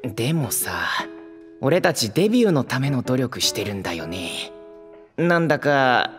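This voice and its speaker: Young hopeful idol male actor, very animated, earnest, friendly and easily flustered, anime audio drama. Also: medium pitch, normal speed, faint music behind, close to an everyday voice. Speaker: protagonist and narrator. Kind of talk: anime-style voice drama acting.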